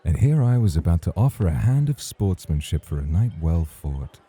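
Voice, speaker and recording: Man voicing a very deep, suave club host. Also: sing-song, normal speed, warm tone, husky, heavily acted. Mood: smug, teasing. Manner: formal, courtly, teasing. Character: suave, teasing champion male club host, smoothly charming. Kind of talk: ASMR romantic roleplay.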